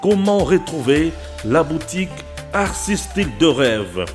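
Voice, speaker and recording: Guy doing a shop ad voice-over, kinda high voice, loud music behind him. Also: big pitch swings, a little slow. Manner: formal, promotional address to listeners. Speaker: radio ad announcer. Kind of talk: scripted advertisement read.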